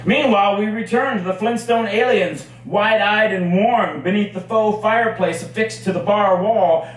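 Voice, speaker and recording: A man performing his own poetry at a live reading, with measured, dramatic phrasing and deliberate pauses. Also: high voice, a bit bright. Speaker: poet reading own work. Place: indoors at a cafe poetry reading. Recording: very echoey room, distant mic, faint noise.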